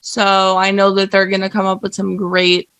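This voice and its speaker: A woman's voice: mid-range voice, monotone, moderate pace, fairly bright tone, smooth, no room sound. A woman guest in a casual podcast chat, rambling and stumbling over her words as she jokes.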